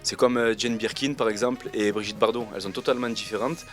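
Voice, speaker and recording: Twenties dude, lowish voice, chill slangy talk full of uh's, faint music behind. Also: male voice, fast. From Marseille, France. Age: 20s. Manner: relaxed, slangy, hesitant with many fillers. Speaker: reality TV contestant interviewed. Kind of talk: casual interview answers.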